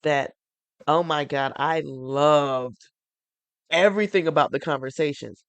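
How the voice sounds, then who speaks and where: A woman's voice: sits low, measured pace, smooth, no room sound, muffled recording. Congested woman hosting a porch chat. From North Carolina. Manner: rambling, enthusiastic, restarts often. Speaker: podcast host.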